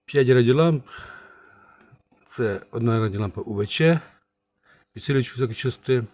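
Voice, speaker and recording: A man giving a casual hands-on review as a channel host, halting and thinking aloud, muttering remarks to himself. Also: mid-range voice, darkish tone. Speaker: channel host, radio repairer.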